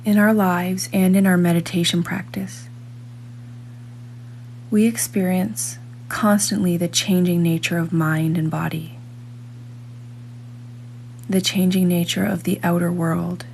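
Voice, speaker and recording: A woman reading a scripted guided meditation in a calm, measured manner, leaving long pauses between phrases. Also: fairly low pitch, gravelly, slight background noise.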